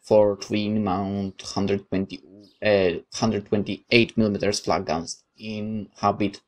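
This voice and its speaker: A man's voice: fairly low pitch, flat delivery, slow pace. A man reading a visual novel aloud as narration, dropping in casual asides of his own.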